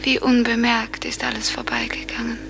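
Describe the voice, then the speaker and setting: An actress playing a dreamy, wistful young woman on the run, breathy and hushed, in a 1950s radio play. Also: a little high, level tone, normal speed. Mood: wistful dread. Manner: breathy, hushed, often whispering. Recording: old radio recording under loud music.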